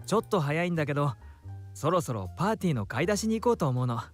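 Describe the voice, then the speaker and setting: An actor playing a friendly, caring, effeminate young man with soft, feminine phrasing and an expressive lilt. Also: fairly high pitch, very fast, faint music behind. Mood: friendly, concerned.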